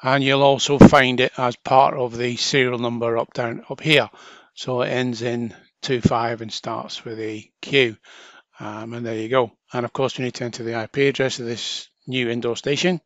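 A man narrating a step-by-step software tutorial in an informal, chatty way, talking through each action as an instructor. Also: medium pitch, flat delivery, normal speed, no echo, muffled recording. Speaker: training video instructor.

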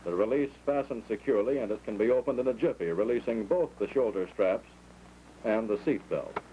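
American flight instructor on muffled, noisy 1940s film. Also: male voice, lowish voice, animated, brisk. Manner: clear, orderly step-by-step instructing.

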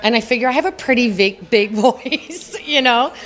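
A woman's voice: fairly high pitch, lively intonation, normal speed, a bit bright. A middle-aged woman, an award honoree, talking earnestly and chattily in a red carpet interview at an indoor gala, with frequent little laughs. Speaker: award honoree, beauty entrepreneur. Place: indoor gala press wall. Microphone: handheld mic held by interviewer.

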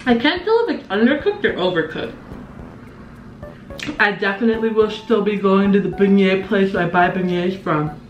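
A woman's voice: mid-range voice, expressive, moderate pace, reverberant, lo-fi sound, soft background music. A young woman chatting casually and mocking herself as she cooks in a small home kitchen, filmed by a camera on the counter. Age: young adult. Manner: bubbly, chatty, self-mocking. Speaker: vlogger. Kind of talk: casual cooking vlog.

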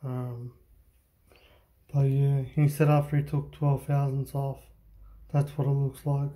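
A man, talking casually and haltingly about his workshop repair, with many pauses and ums, filmed close up on a handheld phone in an indoor workshop. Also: mid-range voice, completely flat delivery, mellow. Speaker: hobby boat mechanic. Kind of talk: casual workshop show-and-tell. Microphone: handheld phone camera, close-up.

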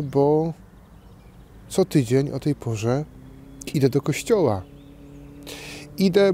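A man in his forties, a priest, delivering a short homily in a measured, deliberate way with pauses. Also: medium pitch, warm tone. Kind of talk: short scripted homily to camera. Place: outdoors in a park. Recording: outdoor background noise.

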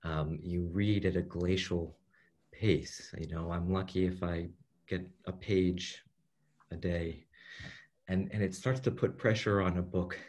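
A man's voice: low-pitched, steady tone, measured pace, a little mellow. A middle-aged man, a translator, talking casually and hesitantly on a video call, with lots of fillers and restarts. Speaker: translator guest. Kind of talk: casual conversational talk. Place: room at home with bookshelves.

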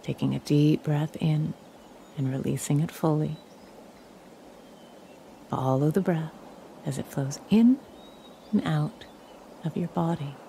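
A woman leading a guided meditation in a calm, soothing, measured way, leaving long pauses. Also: low voice, vocal fry, slight background noise.

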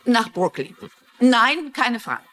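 A woman's voice: a little high, big pitch swings, slow pace, background noise. An actress playing an older woman crime novelist and sleuth in a dubbed TV mystery, polite but firm and full of questions. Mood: firm, insistent. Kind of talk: dubbed TV crime drama acting.

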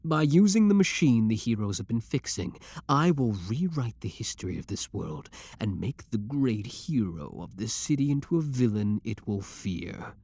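Man acting a low-voiced obsessive young villain. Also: sing-song, a little mellow. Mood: calculating, obsessive. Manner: deliberate, theatrical, possessive. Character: obsessive, menacing young male villain, coldly devoted yandere. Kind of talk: scripted ASMR roleplay, dramatic monologue.

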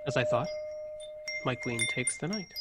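A man's voice: medium pitch, lively intonation, moderate pace, over music, lightly acted. A young man acting a proud, rigid, volatile starship ensign in a radio play, speaking formally and in clipped phrases. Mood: concentrating. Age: young adult. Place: recorded over a video call, close studio mic. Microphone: desktop microphone, headset worn.

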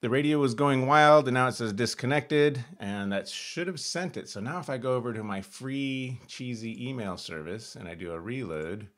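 A man's voice: mid-range voice, normal speed, hardly any pauses, a bit bright. An American man in his fifties giving a casual tutorial, thinking aloud as he narrates each step, recorded in a small room at home. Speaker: host of an amateur radio tutorial. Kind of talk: casual screen-share demonstration.

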